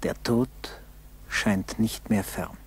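A male narrator of a vintage radio drama, low and gravelly. He reads in short, grave, measured sentences with a breathy, husky edge. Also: fairly even intonation.

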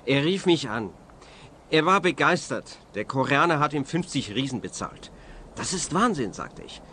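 An actor playing an excitable, defensive small-time crook in a comic radio play. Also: male voice, a little high, moderate pace, a little hiss or hum. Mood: agitated, confessing. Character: excitable, evasive crook, protesting his innocence. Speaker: actor playing a murder suspect.

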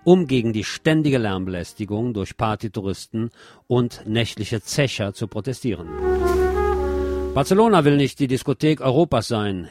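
Man, low-pitched voice, scripted news reading, radio presenter, guitar music behind. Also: darkish tone, dry recording.

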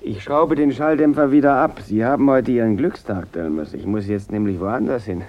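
An actor playing a bitter, menacing gangster out for revenge in a German radio drama. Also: male voice, medium pitch, normal speed, close to an everyday voice. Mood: cold menace. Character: bitter vengeful male gangster holding a gun. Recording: dull radio recording, noisy backdrop.

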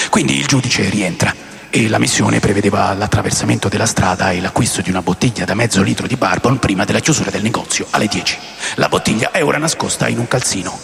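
A man narrating a scripted radio drama with a gravelly voice. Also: fairly low pitch, fairly bright tone, long reverb tail.

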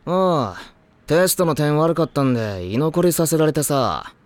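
A man's voice: on the high side, lively intonation, moderate pace, no echo, natural voice. An actor playing a laid-back, casual teenage boy teammate in an anime drama CD. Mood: breezy. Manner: casual, breezy.

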